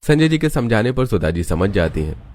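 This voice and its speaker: A man's voice: fairly low pitch, sing-song, fairly quick, darkish tone. A man narrating a scripted moral story as its storyteller.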